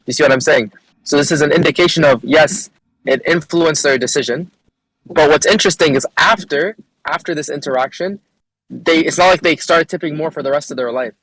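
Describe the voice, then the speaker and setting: A man makes his case in a voice chat, talking quickly, repeating words and checking that the others follow. His voice sits somewhat high and sounds dry. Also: a bit bright, dry recording, rough recording. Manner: rapid, repeats words, asks if listeners follow. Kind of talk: online voice-chat debate. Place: voice chat call.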